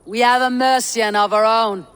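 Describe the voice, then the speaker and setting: An actor playing a resolute, steady Viking warrior leader in a video game, speaking tersely and firmly. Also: male voice, very high voice, normal speed, clean tone. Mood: confident resolve. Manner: terse, firm.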